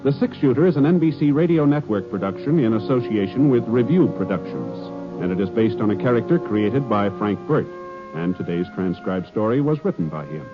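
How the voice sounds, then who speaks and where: Male American network announcer, vintage radio style. Low, polished, formal scripted read over faint music. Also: animated. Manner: polished, formal, measured promotional delivery. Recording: dull 1950s radio recording, faint music.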